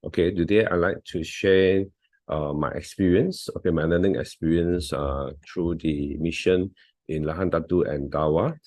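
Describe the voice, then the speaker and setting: A man's voice: low voice, steady tone, normal speed, darkish tone, no room sound, clean studio sound. Hesitant man with a Malaysian accent. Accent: Malaysian English. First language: not English. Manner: hesitant, many fillers and restarts. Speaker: volunteer sharing a talk. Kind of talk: presentation over a video call.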